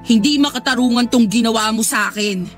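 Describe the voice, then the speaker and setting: A woman's voice: a little high, a little fast, soft background music, natural voice. A woman playing an indignant young woman betrayed by her friend, reproachful, in a Filipino radio drama. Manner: wounded, reproachful.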